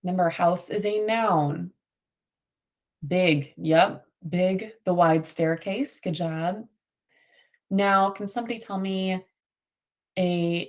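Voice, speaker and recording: Female teacher, unhurried delivery, read-aloud storytelling mixed with instructional prompts for young pupils. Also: on the low side, a little mellow. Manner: instructional, prompting and affirming answers. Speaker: teacher reading aloud to children. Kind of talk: picture-book read-aloud with questions to a class.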